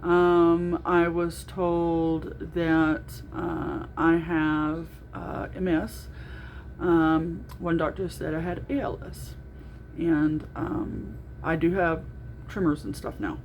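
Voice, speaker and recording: Loud-voiced middle-aged woman, rambling vlog. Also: low-pitched, steady tone, takes it slowly, slight background noise. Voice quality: naturally loud voice. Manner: rambling, with frequent ums and restarts. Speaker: vlogger. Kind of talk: casual video diary.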